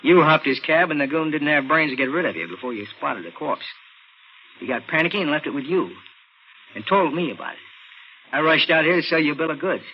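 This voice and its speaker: An American man playing a cold-blooded murderer posing as a policeman in a 1940s radio thriller, with brisk, clipped, commanding tough talk. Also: on the high side, expressive. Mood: cold, menacing. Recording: muffled, noisy vintage radio recording.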